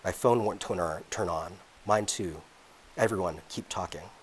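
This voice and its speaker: A man reads from his own novel at a public event. His voice is very deep, and he takes the prose at a measured, deliberate pace. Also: a little hiss or hum. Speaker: author reading his novel. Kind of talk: reading aloud from a novel.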